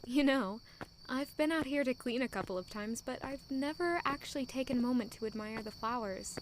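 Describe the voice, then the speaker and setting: A woman's voice: sits high, fairly quick, slight background noise, natural voice, rough recording. A woman playing a caring young maid. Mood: gentle. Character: young woman maid, kind and warm-hearted. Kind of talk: audio drama acting.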